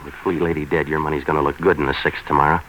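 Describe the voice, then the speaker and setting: A very low-voiced, hard-boiled American private eye, talking fast in clipped, sardonic lines. It sounds like 1940s radio noir, with faint noise on the old recording. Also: male voice, flat delivery, a bit bright, rough recording. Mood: tough, needling. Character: hard-boiled, cynical, impatient male private detective.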